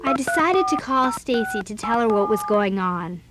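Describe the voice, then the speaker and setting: High, animated, blunt preteen girl played by a woman. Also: normal speed, over music, lightly acted. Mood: curious, eager. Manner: blunt, eager, chatty. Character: outspoken preteen girl club leader and storyteller. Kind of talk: children's audio drama acting.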